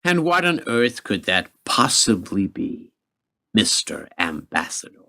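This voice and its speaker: Man acting smug American official, widely swooping pitch. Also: big pitch swings, takes it slowly, vocal fry, sharp tone, full character voice. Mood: threatening. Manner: sardonic, condescending, theatrical. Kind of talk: radio drama acting.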